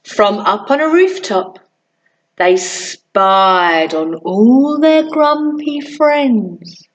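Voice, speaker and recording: A woman reading a children's story aloud in an expressive, deliberate way for young listeners. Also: fairly high pitch, dull audio. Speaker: primary school storytime reader.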